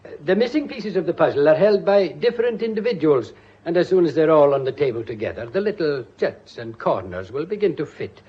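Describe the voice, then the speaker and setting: An actor playing a genial, wordy, quietly commanding Scottish police inspector in a British radio drama, with a folksy, authoritative manner. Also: male voice, fairly high pitch, very animated, a little fast, breathy edge. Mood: measured, lecturing. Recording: dull, band-limited radio drama recording.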